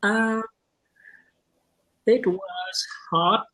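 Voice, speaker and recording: A transgender woman in her fifties who grew up in Vietnam, speaking slowly and hesitantly as a guest on a video call. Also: mid-range voice, steady tone, fairly bright tone, poor audio. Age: 50s. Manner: slow, hesitant, with many pauses. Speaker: guest, nonprofit board president. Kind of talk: casual video call interview.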